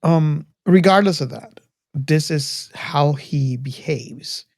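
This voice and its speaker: Halting podcast host, close and dry. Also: medium pitch, vocal fry, darkish tone, dry recording. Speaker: host of a film discussion show. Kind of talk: casual podcast discussion.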